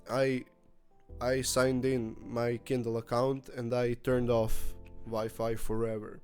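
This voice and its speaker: A man talks straight to the camera in a relaxed vlog style, candid and joking, with the odd swear word. His voice sits at an ordinary middle pitch. Soft music plays faintly underneath him. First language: Croatian. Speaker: book vlogger. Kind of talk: casual vlog monologue.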